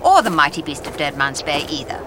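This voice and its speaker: Swooping, bossy eccentric schoolmistress. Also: female voice, deep voice, big pitch swings, brisk, background noise. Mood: jovial, scornful. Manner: exuberant, wordy, commanding. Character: brisk, bossy, eccentric female school mistress. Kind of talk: audio drama acting.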